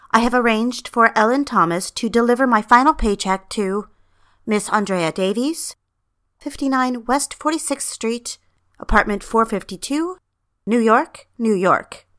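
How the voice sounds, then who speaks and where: A woman's voice: a little high, fairly bright tone. A woman narrating a dramatic story in the first person, speaking confidingly and directly to the reader. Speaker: narrator and lead character.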